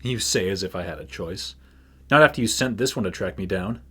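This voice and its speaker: An actor playing a disgruntled, sarcastic mercenary who supplies arena fighters in a fantasy audio drama. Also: male voice, low voice, brisk, fairly bright tone, husky. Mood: irritated. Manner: irritable, sarcastic. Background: music.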